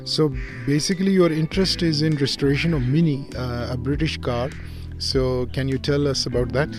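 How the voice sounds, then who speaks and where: A man's voice: mid-range voice, fairly even intonation, moderate pace. A man hosting an interview, who speaks hesitantly with many fillers. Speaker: host. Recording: music playing underneath.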